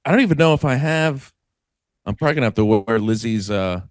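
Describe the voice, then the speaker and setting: Male podcast co-host, wry, animated delivery, very lively pitch. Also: quick pace, dry recording, clean studio sound. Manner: wry, mock-indignant, animated. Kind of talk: casual comedic banter.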